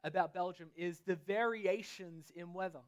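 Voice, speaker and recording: A man with an Australian accent preaching a sermon in a relaxed, chatty way, pausing often so an interpreter can translate. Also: high-pitched. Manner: casual, chatty, pauses for translation. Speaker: pastor.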